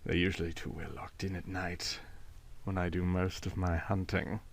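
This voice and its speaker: A man voicing an uncanny feral boy of sixteen in a storybook, lazy and mocking, patronising and slowly savouring words. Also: low-pitched, flat delivery, voice pushed down. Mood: menacing relish.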